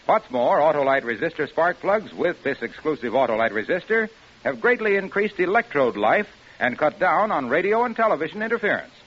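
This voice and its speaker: A man's voice: fairly high pitch, very animated, brisk. A man reading a scripted old-time radio commercial as an announcer, in an emphatic, salesy manner. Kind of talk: scripted 1950s radio advertising pitch. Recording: dull vintage radio recording.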